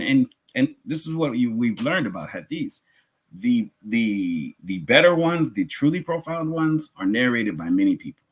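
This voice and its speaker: A man's voice: on the low side, fairly bright tone. A man giving a religious lecture as a teacher, conversational, posing rhetorical questions and rephrasing his points.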